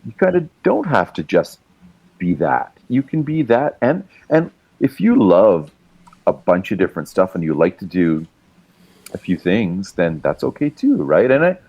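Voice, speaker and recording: A middle-aged man from Canada chats as a podcast guest in a very low voice, recorded close and dry with no room sound. He rambles through his stories, restarts often and laughs easily. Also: very animated, normal speed, husky, no echo, muffled recording. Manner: rambling, laughing, swears freely, many restarts. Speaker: podcast guest, writer and musician. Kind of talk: casual chat, storytelling.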